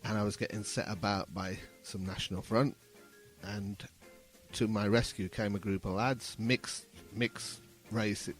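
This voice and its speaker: A man in his fifties speaks with a Brummie accent in a slightly low voice. He chats casually as a guest, telling anecdotes, with some music faintly audible behind him. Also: flat delivery, a little slow. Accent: Birmingham (Brummie). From Birmingham, England. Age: 50s.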